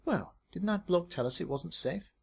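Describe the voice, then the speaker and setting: A man's voice: sits high, lively intonation, moderate pace, gravelly, husky, voice pushed up, heavily acted. A man voicing a chatty, naive young woman with a colloquial, exclamatory way of talking. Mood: curious. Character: chatty, giggly young woman paintball player, naive and blunt.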